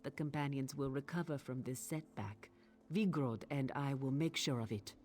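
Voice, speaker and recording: An actress playing a proud, earnest Nord storyteller in a fantasy game, speaking in a solemn, declamatory way. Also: female voice, very deep voice, normal speed, a little hiss or hum. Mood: earnest, honored. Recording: game music loud behind the voice.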